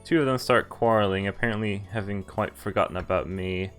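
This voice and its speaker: Man, lowish voice, game streamer, casual read-aloud narration, amused asides, music in the background. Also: fairly quick, fairly bright tone. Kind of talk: reading visual novel text aloud with casual live commentary.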